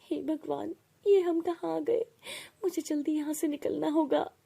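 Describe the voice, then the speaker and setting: A woman voicing a gentle, devout poor mother in an animated moral story, pleading and earnest. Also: sits high, unhurried, creaky, pitched above the performer's own voice, full character voice. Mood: frightened, urgent.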